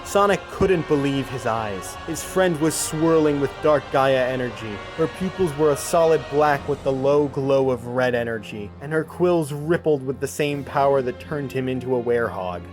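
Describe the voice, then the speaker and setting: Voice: medium pitch, normal speed, few pauses. A narrator reading a scripted adventure audiobook in a storytelling style. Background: background music.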